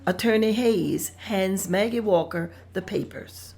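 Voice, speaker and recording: A woman's voice: mid-range voice, a little slow, vocal fry, a little mellow, breathy edge. A woman reading out scene directions at a script table read in a plain, descriptive manner.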